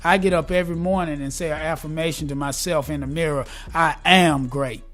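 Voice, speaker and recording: A man in his forties, talking casually and earnestly in an interview, upbeat and a bit preachy, and quick to laugh. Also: on the high side, steady tone, a bit bright, faint music behind. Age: 40s. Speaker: guest, gospel rap artist. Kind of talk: casual conversation.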